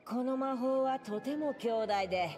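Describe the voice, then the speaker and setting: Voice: high voice, fairly quick, darkish tone, close to an everyday voice. A performer voicing an ancient, cryptic prophet in a storybook game tale, speaking in solemn, archaic pronouncements. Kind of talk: theatrical storybook game dialogue. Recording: long hall reverb, music behind.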